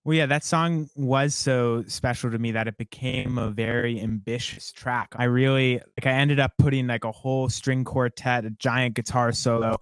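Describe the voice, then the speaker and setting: A young man, a songwriter guest, talking in a relaxed and reflective way, rambling with many fillers. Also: medium pitch, normal speed, few pauses, smooth, dry recording. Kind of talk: casual interview conversation.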